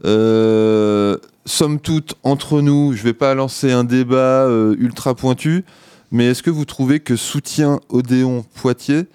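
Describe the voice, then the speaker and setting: A man hosting a community radio show, chatting casually and sounding tired, pausing often on filler sounds into a studio mic. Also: medium pitch, dark tone, clear, resonant tone, dry recording. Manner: hesitant, many filler pauses. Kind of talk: casual on-air chat. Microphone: studio microphone.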